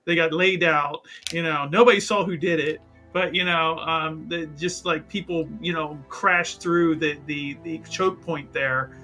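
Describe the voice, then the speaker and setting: A man casually narrating his character's actions as a tabletop roleplaying player, in an animated, halting, jokey way. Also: on the high side, soft background music.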